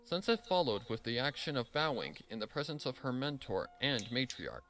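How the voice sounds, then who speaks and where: A man's voice: mid-range voice, big pitch swings, normal speed, a bit bright, faint music behind. A man narrating a scripted story, reading expressively and dramatically.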